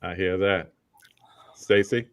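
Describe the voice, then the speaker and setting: A middle-aged man hosts a live stream roundtable in a relaxed, easygoing way. His voice sits a little low. The sound is dry and close. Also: slow pace, dry recording. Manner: laid-back, shouting out chat viewers. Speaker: host.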